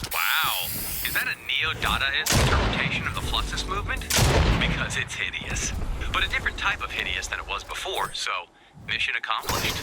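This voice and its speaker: An actor playing an anxious, sarcastic video game scientist who rambles in wry asides and feigns calm. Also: male voice, mid-range voice, very noisy. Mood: exasperated, sarcastic. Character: anxious, sarcastic, fast-thinking male scientist. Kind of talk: video game character voicelines.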